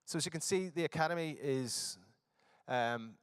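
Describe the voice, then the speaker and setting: A man's voice: on the high side, normal speed, clean tone, no echo, studio quality. A man from Northern Ireland with a Northern Irish accent, giving a workshop presentation at a conference with frequent fillers and restarts. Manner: hesitant, many fillers and restarts. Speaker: conference speaker. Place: conference hall.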